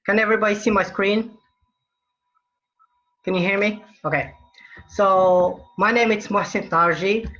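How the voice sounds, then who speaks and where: A young man from Morocco, giving an earnest startup pitch over a video call and often restarting his phrases. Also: unhurried, long pauses, bright, forceful tone. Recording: muffled video-call audio.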